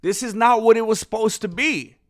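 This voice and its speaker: A man's voice: high-pitched, brisk, no room sound, studio quality. A man chatting casually on a live stream, joking and drifting into song, who says he is losing his voice. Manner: jokey, breaks into off-key singing and ad-jingle bits.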